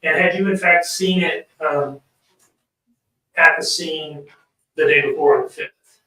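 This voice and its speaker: A man formally questioning a witness in a halting, precise way. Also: fairly high pitch, fairly even intonation, sharp tone. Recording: very echoey playback, distant mic.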